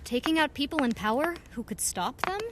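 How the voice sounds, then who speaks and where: An actress playing a confident, inquisitive investigator with a wry, expressive delivery in an audio drama. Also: female voice, high-pitched, brisk, slight background noise. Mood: thoughtful.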